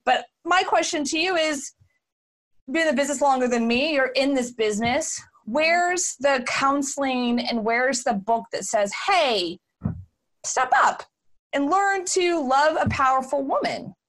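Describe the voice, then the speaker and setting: A woman from Houston, hosting an interview in an emphatic, animated way. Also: sits high, unhurried. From Houston, Texas. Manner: emphatic, animated, talks over guest. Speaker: podcast host. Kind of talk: casual interview chat.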